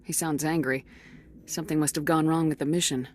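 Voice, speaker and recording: An actress playing a calm female starship commander in a video game. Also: sits low, a little fast, a little hiss or hum, lightly acted. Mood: even.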